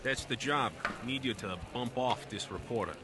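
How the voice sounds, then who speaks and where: An actor playing a shady, swaggering gangland go-between, sly and slangy, in old-time radio style. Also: male voice, medium pitch, moderate pace, over music, close to an everyday voice. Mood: matter-of-fact.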